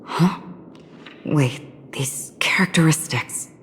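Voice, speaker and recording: An actress playing a cool, pensive, quietly vigilant woman in a video game, speaking in a subdued, deliberate way. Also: deep voice, whispered. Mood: guarded. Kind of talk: English video game voice acting. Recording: long reverb, game music behind.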